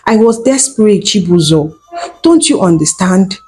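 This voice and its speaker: A woman's voice: medium pitch, animated, normal speed, mellow, soft background music, lightly acted. A woman voicing a desperate, pleading married village woman in a folktale.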